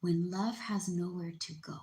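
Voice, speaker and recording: Soft, whispery woman with a mellow voice. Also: fairly low pitch, steady tone, roomy sound. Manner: soft, often whispery, unhurried. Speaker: spiritual reader on a livestream. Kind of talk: gentle comforting livestream reading.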